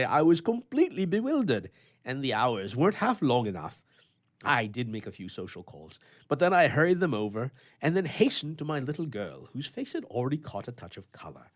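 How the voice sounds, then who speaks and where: A man playing a hearty old-fashioned bachelor gentleman recounting a story theatrically for a radio play. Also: mid-range voice. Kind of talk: dramatic reading for radio theatre.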